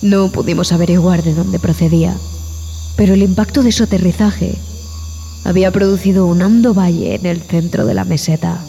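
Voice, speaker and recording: An actress playing a haunted explorer who tells a horror tale in a dramatic, deliberate way, in audio fiction. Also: female voice, lowish voice, gravelly, warm tone. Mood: awed, excited. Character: haunted explorer recounting a terrifying jungle expedition.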